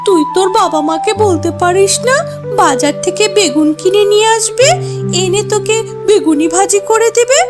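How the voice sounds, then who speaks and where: Very high voice, cartoon market auntie played by a woman, grumpy, scolding, dismissive, music behind. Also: moderate pace. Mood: irritated scolding. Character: grumpy animal auntie running a fritter stall. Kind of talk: children's cartoon voice acting.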